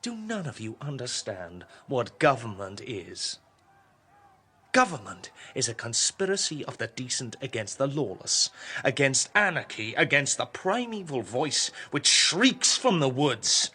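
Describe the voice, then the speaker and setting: A man's voice: medium pitch, very animated, a little slow, bright, forceful tone, husky, full character voice. An actor playing a contemptuous, tyrannical king in a radio drama, speaking with scornful, emphatic declamation. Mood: indignant, declamatory. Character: contemptuous, tyrannical male king obsessed with order.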